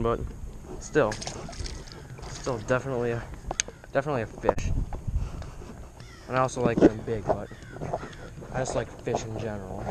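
A teenage boy talking casually while fishing from a kayak, rambling with lots of fillers, recorded on a head-mounted camera outdoors. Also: mid-range voice. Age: teens. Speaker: fishing vlogger. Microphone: head-mounted action camera. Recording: outdoor noise on an action cam.

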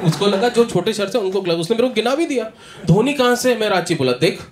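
A man in his thirties doing a stand-up comedy set on a club stage, telling animated stories and acting out characters into a handheld mic. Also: sits high, fast, crowd noise. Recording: live club audio with audience noise. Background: audience laughter.

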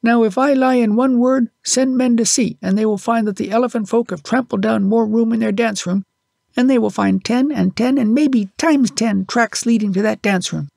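A man's voice: very high voice, normal speed, creaky, a little mellow, dry recording, clean studio sound, pitched above the performer's own voice, exaggerated voice. A man voicing a small earnest boy who tells his tale in short, insistent phrases for an audiobook.

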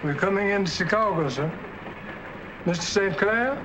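High-voiced, polite train porter. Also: male voice, animated, moderate pace. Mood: attentive, concerned. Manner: deferential, polite. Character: polite, attentive male railway sleeping-car porter. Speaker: film actor. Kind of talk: 1940s film acting. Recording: noisy old film track, train rumble.

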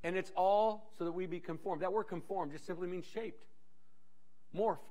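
A middle-aged man preaching a sermon on a church stage, animated, pacing with many restarts. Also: high-pitched, moderate pace. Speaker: pastor. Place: church stage in a large dim hall.

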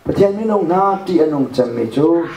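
A middle-aged man delivers a sermon with a fairly high voice, gesturing and laughing as he talks. He holds a handheld mic in a church hall, and his voice comes echoey from far off. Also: brisk, creaky, a little hiss or hum. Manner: animated, gesturing with one hand, often laughing. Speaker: preacher. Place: church hall with a projection screen.

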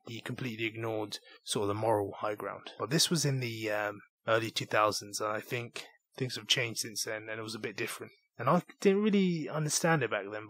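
A man's voice: on the low side, a bit bright, breathy edge, dry recording. A young British man from southeast England, hosting his solo podcast in an earnest, reflective storytelling manner. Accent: British English. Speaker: podcast host.

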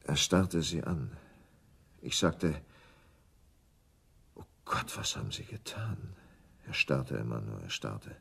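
Shaken, husky grieving man. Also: very deep voice, animated, pushed and bright, heavily acted. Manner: halting. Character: shaken, grieving male widower giving his account. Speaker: radio drama actor. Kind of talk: German radio crime play acting.

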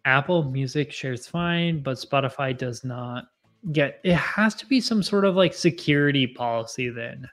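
Man, mid-twenties, casual chatty livestream host, self-deprecating, boom mic, faint background music. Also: moderate pace, fairly bright tone. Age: 20s. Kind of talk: casual live chat with viewers. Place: home bar with bottle shelves, indoors. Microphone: boom mic in front of face.

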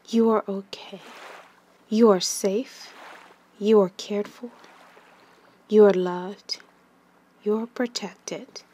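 A woman leading a guided meditation in a gentle, soothing manner, with long pauses and breathy phrase endings that trail away. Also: medium pitch, slight background noise.

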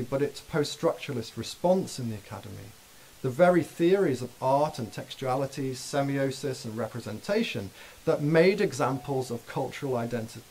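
A man giving a formal academic keynote in a dim lecture hall, reading dense scholarly prose at a lectern in a measured way. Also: medium pitch, a little mellow, slight background noise. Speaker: keynote speaker.

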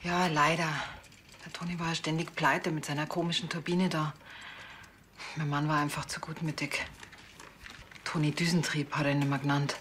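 A farmer's widow, speaking in a deep female register. She is weary and frank, telling things plainly in a subdued way, as in a television crime comedy. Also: little pitch movement, normal speed, pauses often, a bit bright, a little hiss or hum. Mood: resigned. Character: bereaved farmer's wife, weary, frank, resigned. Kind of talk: naturalistic TV crime comedy acting.

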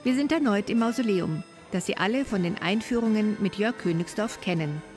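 A woman's voice: mid-range voice, fairly quick, over music. A German woman hosting an interview podcast in a room of an opera house, reading a formal welcome and then asking questions. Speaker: podcast host. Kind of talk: scripted intro then interview.

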